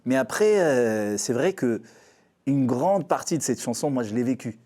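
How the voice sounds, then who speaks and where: A man's voice: medium pitch, expressive, moderate pace, warm tone. A man of Arabic mother tongue, an interview guest answering in French, hesitant with frequent fillers and restarts.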